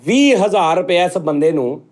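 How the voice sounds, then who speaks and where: A man's voice: high voice, lively intonation, moderate pace. A man with a Pakistani Punjabi accent chatting casually in a comic group talk, repeating his points insistently. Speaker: host of a comedy chat show.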